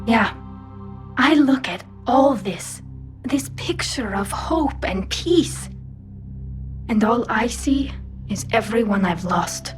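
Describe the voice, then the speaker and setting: A woman playing a wistful, loyal, gently determined young alien crewmate in video game dialogue. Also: fairly high pitch, expressive, takes it slowly, pauses often, faint music behind. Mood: wistful, mournful.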